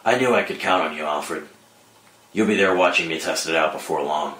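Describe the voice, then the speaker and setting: A man playing a brooding, guarded vigilante in a screenplay table read, speaking in an understated, measured way. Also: a bass voice, a bit bright, slight background noise. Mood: low-key, businesslike. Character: Bruce, brooding guarded adult male vigilante softening in love. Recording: roomy echo under dramatic score music.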